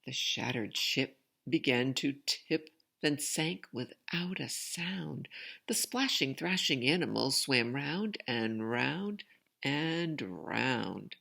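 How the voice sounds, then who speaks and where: A woman's voice: extremely low pitch, animated, slow pace, creaky, sharp tone. A woman storyteller reading a children's picture book expressively, with chatty asides to young listeners. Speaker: read-aloud storyteller.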